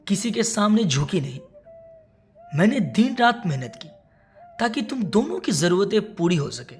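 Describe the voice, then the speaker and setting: A woman voicing a worried, self-sacrificing elderly mother in a moral story, speaking gently and earnestly. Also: fairly low pitch. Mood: calm, earnest.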